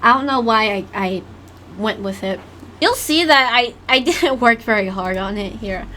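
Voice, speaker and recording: A woman's voice: on the high side, moderate pace, sharp tone, slight background noise. A woman giving casual reaction commentary on her own animation, giggly and rambling. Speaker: animator reviewing own old work.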